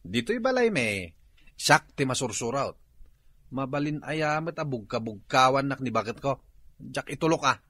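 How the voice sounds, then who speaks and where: An actor playing a boastful but easily cowed husband with a raspy voice and an Ilocano accent in a comedy radio drama. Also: male voice, medium pitch, sing-song, a little slow, pauses often, bright, forceful tone, dry recording, exaggerated voice. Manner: blustering, stammering exclamations.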